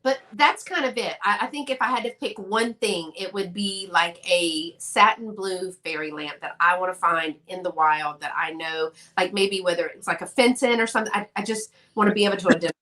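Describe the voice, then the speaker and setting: Lady guest, bright ringing voice, rambles excitedly in fast bursts. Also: medium pitch, rough recording. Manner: enthusiastic, rambling, quick bursts. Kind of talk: casual live chat.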